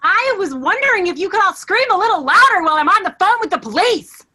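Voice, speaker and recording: A woman's voice: very high voice, big pitch swings, fast, sharp tone, exaggerated voice. A woman in a staged play reading, playing an exasperated office worker with a sardonic, theatrical manner. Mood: melodramatic, furious. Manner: sarcastic, theatrical.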